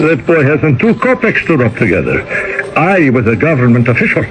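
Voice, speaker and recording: An actor playing a wistful, excitable exiled Russian former official who justifies himself, in a radio drama. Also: male voice, medium pitch, moderate pace, natural voice. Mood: proud, defensive. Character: yearning, self-deluding exiled Russian former government official. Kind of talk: 1970s-80s radio drama acting. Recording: noisy old radio broadcast.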